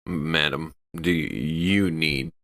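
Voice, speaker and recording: A man playing a suspicious, gruff spaceship crewman in improvised sci-fi role-play, halting and stammering as he talks. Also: very deep voice, bright, forceful tone, exaggerated voice.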